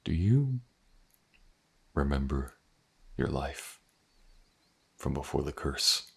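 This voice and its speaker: A man playing a gruff, guarded but protective mercenary in a fantasy audio roleplay, halting and dry in his delivery. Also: big pitch swings, exaggerated voice. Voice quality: very deep gravelly husky.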